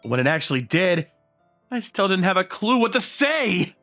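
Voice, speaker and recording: Man voicing bashful teenage boy, animated delivery. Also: high voice, normal speed, faint music behind. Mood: bashful wonder. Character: modest, bashful high school boy narrating his romance. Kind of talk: anime-style manga dub acting and narration.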